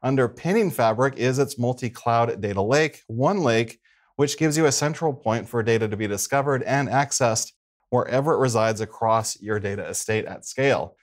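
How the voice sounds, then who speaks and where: A man's voice: medium pitch, moderate pace. A man in middle age hosting a tech show, presenting in a scripted style from a studio desk. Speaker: show host.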